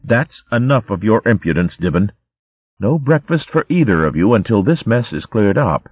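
A man's voice: on the low side, big pitch swings, husky, dry recording, lo-fi sound, pitched above the performer's own voice. A man voicing a stern female mouse nurse who scolds and gives orders. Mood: scolding. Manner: scolding, brisk commands.

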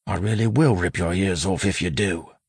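A man voicing a cocky, brash lawman who talks in a forceful, folksy, bullying way, as in an audiobook. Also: deep voice, big pitch swings, measured pace, no echo, studio quality, pitched above the performer's own voice, full character voice. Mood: angry, bellowing. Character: cocky, brash lawman bluffing in a stern constable voice. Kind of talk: audiobook character acting.

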